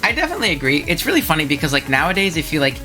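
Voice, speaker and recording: A man's voice: on the high side, very fast, faint music behind. A young man hosting his channel, chatting casually and animatedly about viewer takes, a little stuffed up with a cold. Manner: chatty and animated, frequent ums and laughs. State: stuffed up, congested. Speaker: channel host.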